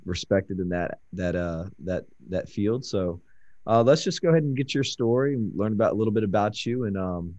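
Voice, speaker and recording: A man's voice: low voice, a little mellow, dry recording. A man hosting an interview over a call, friendly and hesitant, with many fillers. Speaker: interviewer host. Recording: dry muffled call audio.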